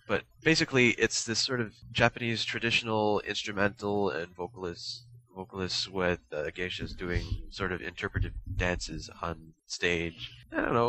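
Young guy podcast cohost, lowish bright voice, rambles along telling stories casually. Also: rough recording. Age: young adult. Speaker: podcast co-host. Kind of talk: casual chat.

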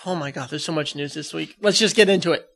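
A man's voice: on the high side, fast talker, gravelly. A young man hosting a podcast, chatting casually with a joking, playful manner. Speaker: podcast host. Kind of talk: casual chat.

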